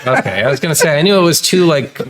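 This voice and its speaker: A man's voice: fairly high pitch, very animated, fast, a little hiss or hum. Rambling, profane podcast co-host man. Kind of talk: casual game review chat.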